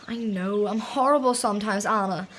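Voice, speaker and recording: A woman's voice: mid-range voice, moderate pace, a little hiss or hum, lightly acted. An actress playing a tired, moody young woman who sighs and runs herself down, in a radio drama. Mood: weary. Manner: sighing, reluctant, self-critical. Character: weary, moody young woman, sister of the host.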